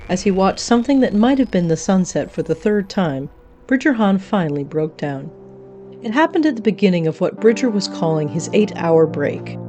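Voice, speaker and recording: A woman's voice: medium pitch, close to an everyday voice. A woman playing a wry, grandiose otherworldly radio host, narrating an audio drama in an arch, florid, dramatic way.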